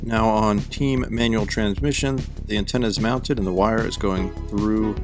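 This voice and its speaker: Low-voiced man narrating over music. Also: steady tone, normal speed. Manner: wry, jokey. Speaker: DIY car vlog host. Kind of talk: casual how-to vlog narration. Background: background music.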